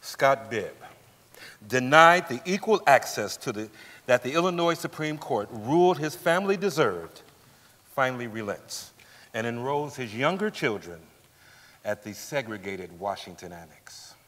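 A man's voice: mid-range voice, lively intonation, measured pace, fairly bright tone. A middle-aged man giving a scripted dramatic reading as narrator on a theatre stage. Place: large indoor theatre stage. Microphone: lapel. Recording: echoey theatre hall.

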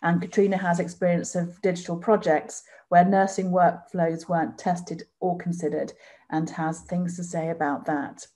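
A woman in her forties opens and chairs an online conference panel in a measured, formal way. Her voice sits somewhat low and sounds mellow and warm. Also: level tone. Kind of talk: scripted conference introduction. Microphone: video call.

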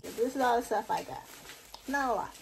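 A woman's voice: fairly high pitch, animated, brisk, creaky. Chatty young woman vlogging on a phone. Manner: chatty, rambling with fillers. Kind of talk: casual shopping vlog. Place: shopping mall, then bedroom at home. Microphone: handheld phone camera. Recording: noisy phone recording.

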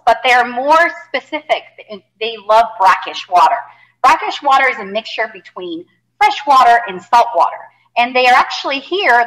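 A middle-aged woman from Costa Rica, a zoologist giving a live animal talk, chatty and animated, with many fillers and restarts, on a headset mic indoors. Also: on the high side, roomy sound, poor audio. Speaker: zoologist educator. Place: indoor animal facility room.

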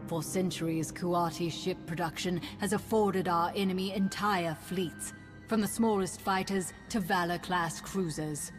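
A woman's voice: lowish voice, steady tone, a little slow, natural voice. A woman playing a bold, ruthless Imperial admiral, music behind. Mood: rousing command. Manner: commanding, formal, sardonic. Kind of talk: video game cutscene acting. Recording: orchestral game music behind.

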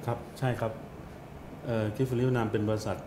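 An older man, a company director guest, speaking politely and deliberately in a studio talk show. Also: medium pitch, level tone, noisy background. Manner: deliberate, polite, frequent particles. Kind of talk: measured business talk.

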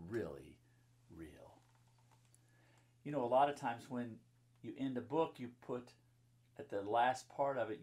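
Male pastor, 50s, mid-pitched with a husky edge, telling Bible stories to children in simple, explanatory language. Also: a little mellow. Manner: simple child-directed phrasing with explanatory asides. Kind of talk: children's Bible storybook reading and talk. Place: book-lined room indoors.

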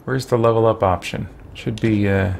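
Low, gravelly man musing over a game. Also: fairly even intonation, normal speed, background noise. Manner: musing aloud, hesitant. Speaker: game streamer. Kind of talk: casual gameplay commentary. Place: small home room with shelves, indoors.

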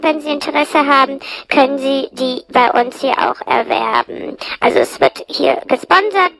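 A woman voicing a chatty, self-pitying, cheeky plush monster in a comic audio play, with a strained voice and rambling, throat-clearing delivery. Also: high-pitched, fairly bright tone, full character voice, low-quality recording. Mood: deadpan rambling. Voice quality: creaky, strained. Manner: rambling, throat-clearing, mock-earnest asides. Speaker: host.

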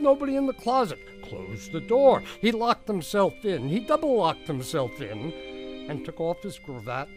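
A man narrating a scripted story for a radio drama, in a storybook style. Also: on the high side.

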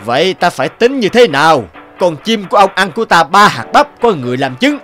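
A man voicing a blustering, greedy rich landlord in an animated folktale. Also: sits high, fairly quick, exaggerated voice. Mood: scheming, indignant. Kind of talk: Vietnamese animated folktale dialogue. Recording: music behind the voice.

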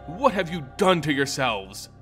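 A man's voice: on the high side, sing-song, unhurried, soft background music. Man acting a stern, defiant warrior knight. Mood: shocked, stern. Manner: stern, forceful, through gritted teeth. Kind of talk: dramatic fantasy audiobook character voice.

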